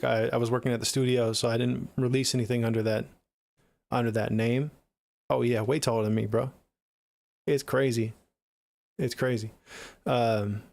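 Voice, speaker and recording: Male livestreamer, middle-aged, American accent. Gravelly, casual and slangy, recorded dry. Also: medium pitch, darkish tone, no echo. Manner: laid-back, slangy, swearing. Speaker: music production livestreamer. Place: small home studio room, indoors.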